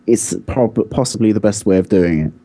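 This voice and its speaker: A man's voice: on the low side, moderate pace, mellow. A man co-hosting a podcast, explaining his points insistently in a casual debate. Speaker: podcast co-host.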